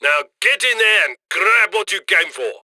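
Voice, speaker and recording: A man's voice: sits high, expressive, measured pace, sharp tone, no echo, exaggerated voice. A man playing a cheerful, cheeky heist handler who chummily guides a robbery crew in a video game. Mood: gleeful, praising. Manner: chummy, cheeky, colloquial, gleeful about loot. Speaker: mission handler. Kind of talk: video game mission voice lines.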